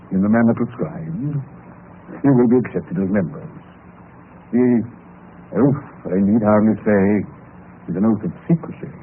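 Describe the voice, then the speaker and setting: An actor playing the courteous, worldly president of a sinister secret club in a 1950s radio drama, speaking in a formal, measured, urbane manner. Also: male voice, mid-range voice, narrow, tinny audio, natural voice. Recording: noisy, band-limited 1950s radio recording.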